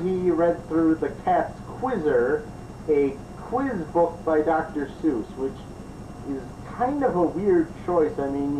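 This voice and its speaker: A man hosting a review show, giving improvised commentary. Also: a little high. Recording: roomy, distant mic, clearly noisy.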